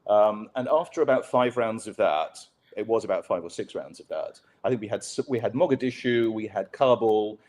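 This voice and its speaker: A man from Pakistan, a journalist guest telling stories in a relaxed interview, with wry, dry humor and lots of fillers and restarts. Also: on the low side, a little fast, few pauses, a little hiss or hum. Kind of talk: conversational storytelling on a podcast interview.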